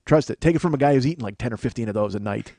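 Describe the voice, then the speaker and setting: A man hosting a podcast, riffing in a profane, excitable comedic monologue into a studio microphone. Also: mid-range voice, no room sound. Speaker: podcast host.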